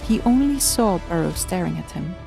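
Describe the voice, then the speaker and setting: A woman's voice: on the low side, animated, normal speed, vocal fry, over music. A woman narrating a scripted story in a storybook style.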